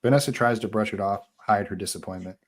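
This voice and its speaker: A man with a fairly low voice narrates a screenplay at a table read. He reads the action in an informal, animated style. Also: fast talker. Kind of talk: reading screenplay action and dialogue aloud.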